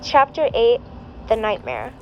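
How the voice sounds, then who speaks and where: A woman narrates a story in a fairly high voice. She reads from a script, with music playing behind her and a dull, lo-fi sound. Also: very animated, a little slow. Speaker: fan fiction reader. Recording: dull lo-fi audio.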